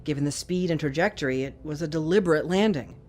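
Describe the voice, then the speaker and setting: A woman's voice: deep voice, fast talker, a little mellow, soft background music, natural voice. An actress playing an authoritative, probing female intelligence chief in an audio drama, direct and commanding. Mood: grave, confiding.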